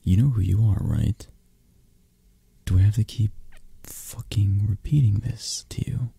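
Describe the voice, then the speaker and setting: A man playing a possessive, menacing mafia boss lover in an ASMR roleplay, with a breathy, gravelly voice and a halting, condescending manner. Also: lowish voice, steady tone, dark tone, dry recording, full character voice. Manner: slow, halting, controlling, condescending. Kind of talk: ASMR roleplay, intimate close-up acting.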